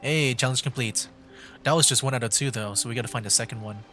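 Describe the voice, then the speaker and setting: A man streaming a video game with casual commentary, thinking aloud in hesitant, halting bursts. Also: medium pitch, bright, forceful tone, soft background music.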